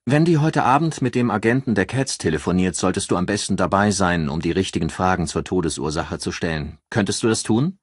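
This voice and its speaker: A man voicing a brisk, dryly polite male chief inspector in a crime audiobook. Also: low voice, animated, breathy edge, dry recording, clean studio sound. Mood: businesslike. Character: businesslike, wry male police chief inspector.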